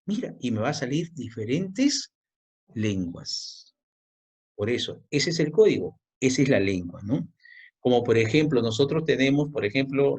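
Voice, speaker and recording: Husky guy teaching a language class, slow, keeps repeating stuff and quizzing you. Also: medium pitch. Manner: repetitive, quizzing the student with questions. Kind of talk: classroom lecture.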